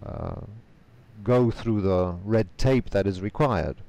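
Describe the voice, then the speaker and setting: A man whose first language is Polish answers interview questions carefully over a video call, pausing often with fillers. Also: fairly low pitch, warm tone. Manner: measured, careful, frequent hesitation fillers. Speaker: guest, conference interpreter.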